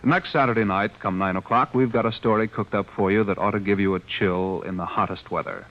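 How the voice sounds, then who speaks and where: Low-voiced 1940s American radio announcer, male. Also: fairly quick. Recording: muffled 1940s radio recording.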